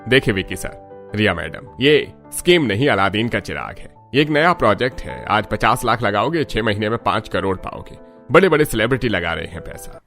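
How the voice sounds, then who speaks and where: A man voicing a smooth-talking male con agent in a cartoon, with slick salesman patter. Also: fairly low pitch, very animated, normal speed, a bit bright, faint music behind. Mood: persuasive.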